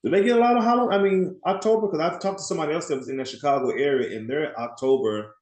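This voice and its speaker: A man's voice: a little high, racing, a little mellow. Man, party rental owner; casual, rambling, laughs a lot; roomy sound. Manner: chatty, rambling, laughs often. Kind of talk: casual video call roundtable.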